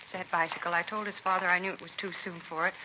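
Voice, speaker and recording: A woman's voice: on the low side, flat delivery, racing, close to an everyday voice. A woman playing an anxious, pleading mother in a vintage radio drama. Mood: anxious, insistent. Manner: pleading, insistent, emotional. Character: small, greying, anxious and grief-stricken mother. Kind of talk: 1950s radio drama acting. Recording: dull, noisy vintage radio recording.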